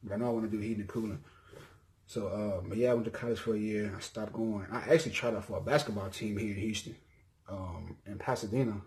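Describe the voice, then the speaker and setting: A man's voice: fairly low pitch, steady tone, fast, darkish tone, poor audio. A man in his twenties from Mississippi with a Southern accent, casually introducing himself on his video channel, rambling with many ums and self-corrections. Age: 20s. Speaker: YouTuber.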